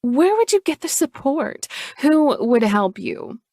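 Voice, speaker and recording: A woman's voice: high voice, very animated, slow pace, darkish tone, dry recording, clean studio sound, voice pushed up, exaggerated voice. A woman reading a novel aloud, voicing a scornful, defiant adult sister in a raised, sneering voice. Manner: sneering, dismissive.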